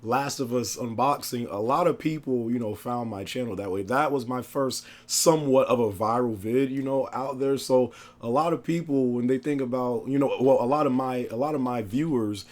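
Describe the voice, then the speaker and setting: A man with a cold, his voice hoarse, chatting excitedly about a video game on his channel, slangy and laughing often. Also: medium pitch, moderate pace, few pauses. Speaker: gaming YouTuber. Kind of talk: casual gaming chat.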